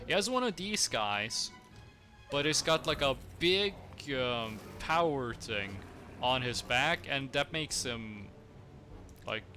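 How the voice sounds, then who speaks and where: A man's voice: mid-range voice, pushed and bright, slight background noise. A young man from Norway with a Norwegian accent, chatting casually with viewers while he plays a strategy game, rambling with lots of fillers. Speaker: streamer.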